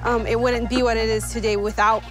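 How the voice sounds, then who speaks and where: Higher-pitched American woman over music. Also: little pitch movement, brisk. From United States. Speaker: street interviewee. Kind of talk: giving opinions in an interview. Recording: music behind the voice.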